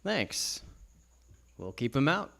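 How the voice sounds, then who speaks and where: An actor playing a gruff, skeptical police detective in a radio play, speaking in terse, knowing phrases. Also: male voice, mid-range voice, sing-song, slow pace, fairly bright tone, full character voice. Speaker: actor in live radio theatre. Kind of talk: 1940s radio drama acting. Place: on stage at a live theatre event.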